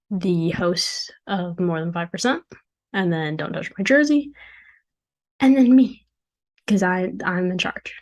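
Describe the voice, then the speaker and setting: A young woman with a hoarse voice, hosting her own podcast in casual, chatty solo talk from her dorm room, with self-deprecating jokes. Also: on the low side. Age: young adult. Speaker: podcast host and founder. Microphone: desk mic at a computer.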